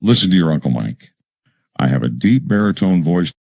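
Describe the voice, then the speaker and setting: A jokey uncle figure, played by a man, talks in a very deep baritone. He speaks in a mocking way for a parody political ad. The sound is dry and close. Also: sing-song, moderate pace, creaky, mellow, dry recording, full character voice. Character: comic uncle character with deep bronchitis voice.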